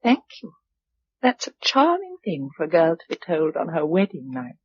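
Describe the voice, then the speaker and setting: Affectionate British heroine, breathy and expressive. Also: female voice, low voice, normal speed, full character voice. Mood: tender, subdued. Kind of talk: British radio drama acting. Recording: dull old radio recording.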